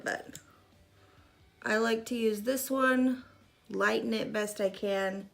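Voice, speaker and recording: A mid-thirties woman talks through her makeup routine in a chatty, self-deprecating way, full of little asides. Her medium-pitched voice carries a gravelly fry. She sits close to a fixed camera in a small room. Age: 30s. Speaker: makeup vlogger. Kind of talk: casual makeup tutorial chat. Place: small room in front of a gray curtain. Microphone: fixed camera at arm's length.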